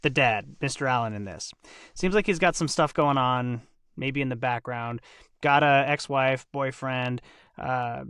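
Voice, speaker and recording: A man's voice: fairly high pitch, fairly bright tone, smooth, no room sound, studio quality. A man hosting a podcast, chatting casually and informally with his guests in a loose, rambling way. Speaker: podcast host. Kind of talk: casual chat, interviewing guests.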